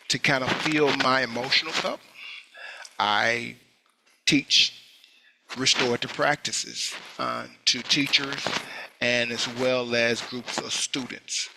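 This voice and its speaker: A man speaking as a school hearing official in an online workshop, halting with many fillers and restarts. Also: medium pitch, steady tone, gravelly, noisy background. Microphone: video call.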